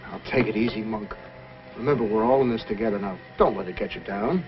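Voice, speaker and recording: An actor playing a cocky outlaw leader with a decent streak in an old-time radio adventure, commanding and tough-talking. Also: male voice, fairly low pitch, quick pace, over music, natural voice. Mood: steady. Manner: commanding, dismissive tough talk. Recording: muffled, noisy vintage radio recording.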